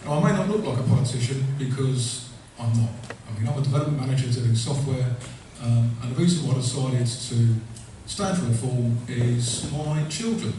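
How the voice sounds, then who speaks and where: A man's voice: medium pitch, moderate pace, few pauses, mellow. A man in his forties speaking in a friendly, conversational way as a candidate at a hustings. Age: 40s. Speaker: election candidate. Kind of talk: speech at a hustings. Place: public hustings hall. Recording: echoey hall from far off with noise.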